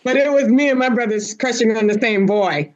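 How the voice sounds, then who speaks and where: A woman's voice: fairly high pitch, a little fast, lo-fi sound, a crowd in the background. A woman hosting a casual panel chat, prodding her guests in a teasing way and repeating her phrases. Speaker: host of a panel discussion.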